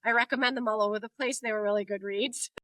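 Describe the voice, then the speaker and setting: Woman in her forties, native Portuguese speaker, bright voice and dry sound, candid and quick to laugh. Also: a little high, fairly even intonation, no room sound. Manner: laughs often, warm and candid. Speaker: guest, HR executive. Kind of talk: casual interview chat.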